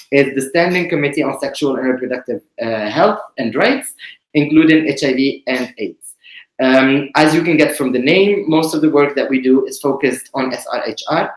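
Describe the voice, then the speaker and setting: A man from Tunisia hosting an online presentation, explaining in a measured way with frequent pauses. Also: medium pitch. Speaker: webinar host, committee director. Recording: roomy echo.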